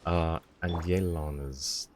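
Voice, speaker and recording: A man's voice: a bass voice, fairly even intonation, slow, background noise. A man live-streaming a video game, chatting casually with viewers as he plays. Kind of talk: casual chat while playing a game for viewers.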